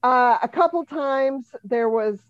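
A woman's voice: sits high, slow pace, no echo. Wry fifty-year-old woman, muffled mic. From Denver, USA. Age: 50s. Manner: dry, wry, laughs often. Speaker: comedian and musician guest. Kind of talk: casual podcast interview.

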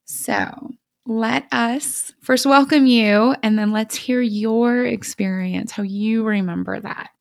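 A woman's voice: a little high, fairly even intonation, takes it slowly, creaky, no room sound, clean studio sound. A woman hosting a podcast in an enthusiastic, conversational way, gushing and emphatic in informal language. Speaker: podcast host.

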